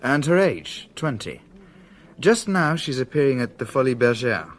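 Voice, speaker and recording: Actor as low-toned, businesslike British detective. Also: male voice, big pitch swings, moderate pace, close to an everyday voice. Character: businesslike junior male police detective reporting to chief. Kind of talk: British radio drama acting.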